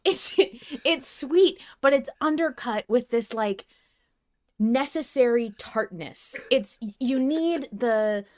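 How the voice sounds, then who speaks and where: A woman's voice: high-pitched, lively intonation, takes it slowly, darkish tone. A woman hosting a podcast in casual fan chat, effusive and given to swearing. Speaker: podcast host.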